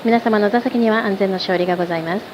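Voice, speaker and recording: A woman's voice: medium pitch, very fast. A woman working as a flight attendant, reading a very polite, formal scripted announcement over a cabin PA. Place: aircraft cabin. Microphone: cabin PA handset. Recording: muffled noisy cabin PA.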